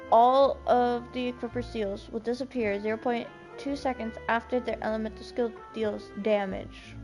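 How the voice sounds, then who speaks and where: A woman with a high voice streams a game over prominent music. She talks at a slow pace in a casual way, with a dramatic, excitable manner. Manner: excitable, dramatic, pleading exclamations. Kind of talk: live gameplay commentary, casual chat.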